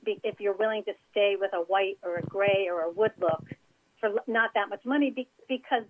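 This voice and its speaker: A woman's voice: medium pitch, a little fast, a little hiss or hum. A woman interior designer giving chatty, practical advice as a guest in an interview. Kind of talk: casual interview over phone. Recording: tinny phone line.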